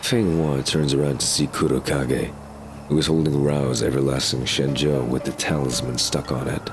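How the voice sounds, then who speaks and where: Extremely deep-voiced male narrator. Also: flat delivery, normal speed. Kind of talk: scripted audio drama narration. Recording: clear background ambience noise.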